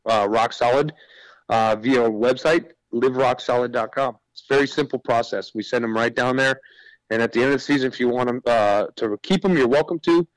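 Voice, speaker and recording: A man's voice: mid-range voice, a little fast, no echo, rough recording. A man speaking as a guest in a radio interview, explaining his business in an earnest, informal way. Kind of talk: conversational radio interview pitch.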